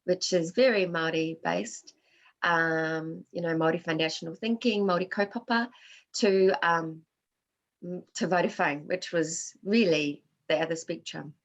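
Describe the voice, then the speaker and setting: Woman workshop facilitator, fairly low voice, reflective, Māori words mixed into English, video call. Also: moderate pace. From Australia. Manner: reflective and personal. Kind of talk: answering audience questions in a workshop.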